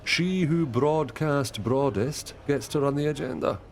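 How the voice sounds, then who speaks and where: A man's voice: a little high, unhurried, a little hiss or hum. A man acting a teasing, shrewd pub friend in a radio soap, with a droll, bantering delivery. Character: teasing, jokey pub pal giving shrewd advice.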